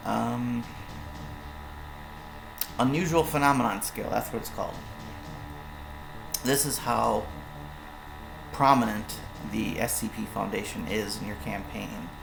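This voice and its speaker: A man explaining the combat rules of his own tabletop role-playing game on a stream, rambling through quick examples with many fillers, his voice hoarse and fading. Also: lowish voice, steady tone, reverberant, noisy background. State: hoarse, voice going.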